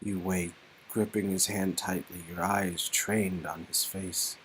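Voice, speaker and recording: A man's voice: deep voice, little pitch movement, slow, fairly bright tone, a little hiss or hum, poor audio. A man co-hosting a read-aloud of a story game, moving between scripted narration and casual reaction chat. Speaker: channel co-host, performer.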